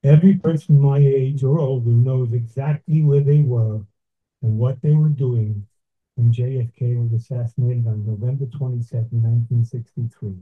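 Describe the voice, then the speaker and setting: An older man from Brooklyn, giving a slow, deliberate, reflective talk with pauses. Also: medium pitch, fairly even intonation, breathy edge. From Brooklyn, New York. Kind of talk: measured reflective address. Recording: tinny phone-like sound.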